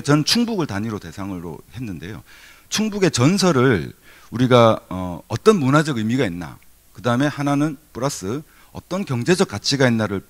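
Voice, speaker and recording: A middle-aged man from Korea's Gyeongsang region giving a keynote lecture at a seminar, conversational, with many fillers and restarts. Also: lowish voice. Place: conference hall.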